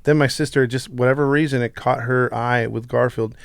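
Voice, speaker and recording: A man's voice: mid-range voice, fairly even intonation, fairly quick, creaky, clear, resonant tone, dry recording. A middle-aged man co-hosting a casual podcast chat, speaking informally and often restarting his sentences as he goes. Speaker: podcast co-host. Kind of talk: casual chat, reminiscing about pop culture.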